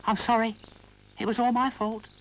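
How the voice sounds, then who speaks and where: A man's voice: little pitch movement, normal speed, a bit bright, a little hiss or hum, low-quality recording. A man voicing a timid, nervous little wooden toy boy who keeps apologizing. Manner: squeaky, apologetic, wide-eyed.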